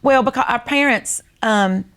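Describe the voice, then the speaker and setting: A woman's voice: fairly high pitch, a little slow, a bit bright. Southern American woman reminiscing casually. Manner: folksy storytelling, acts out remembered family dialogue. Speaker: host.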